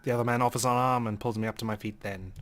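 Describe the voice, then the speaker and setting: A man doing a let's play, reading a game's narration aloud in an expressive, dramatic storytelling way. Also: mid-range voice, very fast. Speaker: let's player.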